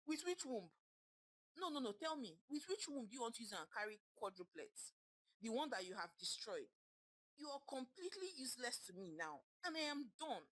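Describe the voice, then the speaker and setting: A woman's voice: a little high, very animated, moderate pace, dry recording, voice pushed up, low-quality recording. A woman voicing a furious betrayed Nigerian husband. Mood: cold, furious. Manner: shouting, accusing, voice cracking with tears. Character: angry wealthy husband, betrayed and bitter.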